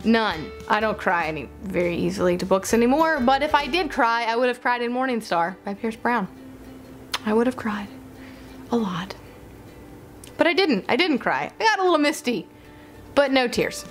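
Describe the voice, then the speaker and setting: A woman's voice: on the high side, lively intonation, moderate pace, soft background music. A woman chatting casually as a book vlogger, playful and self-interrupting, gushing and repeating words. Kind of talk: casual chatty vlog to camera.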